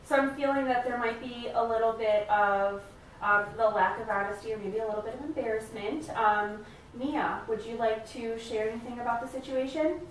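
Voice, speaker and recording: A woman playing a supportive family therapist in a role-play, speaking in a hesitant, gently validating way. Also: on the high side, normal speed, close to an everyday voice. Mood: calm, supportive. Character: supportive, attentive female family therapist leading a session. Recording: echoey room, distant mic, faint noise.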